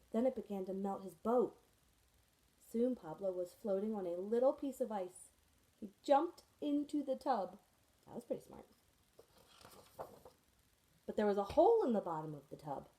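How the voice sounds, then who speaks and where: A woman reads a children's picture book aloud to her students, and her mellow, soft-edged voice carries the story along. She storytells expressively and stops for friendly asides to her young listeners. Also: mid-range voice, animated, a little slow, long pauses, rough recording. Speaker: teacher reading to her students.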